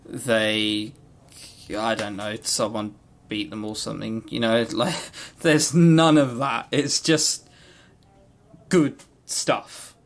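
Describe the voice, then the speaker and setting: A man's voice: fairly low pitch, steady tone, takes it slowly. A man co-hosting a podcast in casual, unscripted chat, rambling and jokey, with some swearing. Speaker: podcast co-host. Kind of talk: casual unscripted visual novel review chat.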